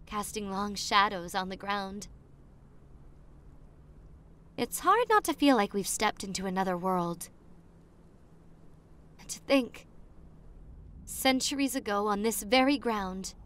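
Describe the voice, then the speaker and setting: A sweetly excitable young college girl, acted by a woman, with a fairly high voice. She talks slowly and dreamily, with dramatic pauses, like a date telling ghost stories in an intimate ASMR roleplay. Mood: playful, hopeful. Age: young adult.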